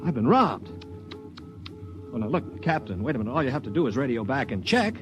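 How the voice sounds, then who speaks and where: A man's voice: medium pitch, big pitch swings, fairly quick, muffled recording, lightly acted. An actor playing a privileged young man, flustered and protesting, in 1950s radio drama style. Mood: outraged. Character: indignant, privileged young bridegroom shanghaied onto a spaceship. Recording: vintage radio recording, music behind.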